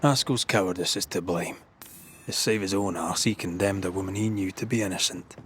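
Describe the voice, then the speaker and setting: A brooding, troubled investigator of hauntings, played by a man in a video game. The voice is low and earnest, with clear noise behind it. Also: a little fast, lightly acted. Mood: accusing. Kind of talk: video game dramatic dialogue.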